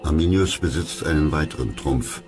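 Male documentary narrator, very low voice, scripted read over loud music. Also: normal speed. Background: loud background music.

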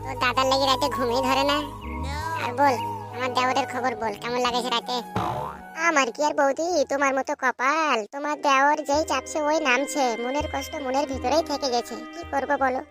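A woman voicing bawdy young cartoon village women who tease and scold in quick bursts. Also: steady tone, lightly acted. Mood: gossiping. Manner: quick, shrill, teasing. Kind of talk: cartoon comedy dubbing. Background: music.

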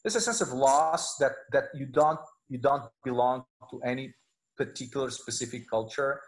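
A middle-aged man from Portugal, a native Portuguese speaker with a Portuguese accent, giving halting, conversational interview answers as a professor on a video call. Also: mid-range voice, poor audio. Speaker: law professor, interview guest. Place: indoor room, video call. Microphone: wired earphones on a video call.

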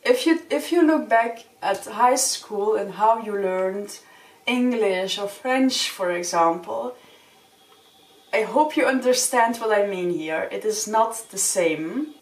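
A woman's voice: mid-range voice, animated, takes it slowly. A young woman whose first language is Dutch, giving earnest, friendly advice straight to the camera in a small wood-panelled room. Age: young adult. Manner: friendly, earnest, gestures with open hands. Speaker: vlogger. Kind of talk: casual advice talk to camera. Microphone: camera a few feet away. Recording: roomy sound from a distant mic.

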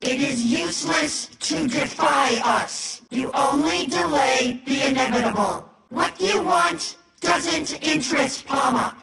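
An actress voicing a cold, menacing machine hive mind in a video game, deliberate and detached, with echoing robotic processing. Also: female voice, high-pitched, vocal fry, fairly bright tone, exaggerated voice. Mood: cold, threatening. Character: cold female machine hive mind speaking through captive. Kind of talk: video game villain dialogue. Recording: heavy echo, faint music behind.

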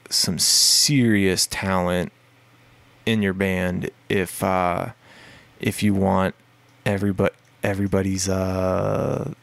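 Deep, gravelly man rambling casually. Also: dry recording. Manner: rambling, many fillers and restarts. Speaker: guest musician, singer and guitarist. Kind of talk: casual podcast interview.

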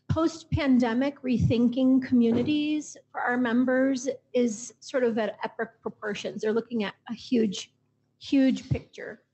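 A woman speaking as a panelist in a discussion, answering in measured, thoughtful sentences from an auditorium stage. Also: on the high side, warm tone, slightly rough, dull audio. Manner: measured, thoughtful, builds long lists. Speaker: panelist, association executive.

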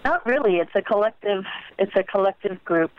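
A woman's voice: mid-range voice, lively intonation, fairly quick, a little hiss or hum. A woman reporter asking questions on a press conference call. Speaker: media reporter. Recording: thin phone line.

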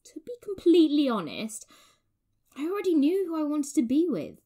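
An actress playing a sheepish, wry young bachelorette in an audio drama, talking casually with dry, hesitant delivery. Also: female voice, sits high, huge pitch swings, normal speed, warm tone, full character voice. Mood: rueful. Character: sheepish, wry young bachelorette confessing her love life.

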